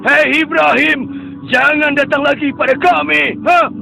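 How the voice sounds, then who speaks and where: A man doing a gravelly, defiant idol worshipper. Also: very high-pitched, steady tone, unhurried, sharp tone, soft background music, exaggerated voice, rough recording. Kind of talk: dubbed cartoon acting.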